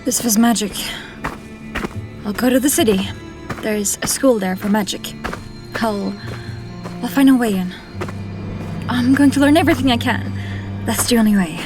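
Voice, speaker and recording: A woman's voice: on the high side, natural voice. A woman playing an earnest young orphaned survivor in a dramatic fantasy audio drama, with music underneath. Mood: grieving resolve. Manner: earnest, deliberate.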